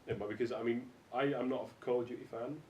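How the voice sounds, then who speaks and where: A man's voice: flat delivery, fast, darkish tone. A man with a Scottish accent chatting casually and sardonically about games, with firm opinions. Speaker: podcast panellist. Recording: echoey room, faint rumbling noise.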